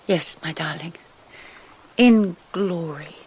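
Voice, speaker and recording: An actress playing a refined, earnest English missionary's sister in a radio drama. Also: female voice, lowish voice, slow, a little mellow, breathy edge, low-quality recording. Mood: tender. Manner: refined, earnest, genteel phrasing. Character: prim English missionary's sister, brave and tender.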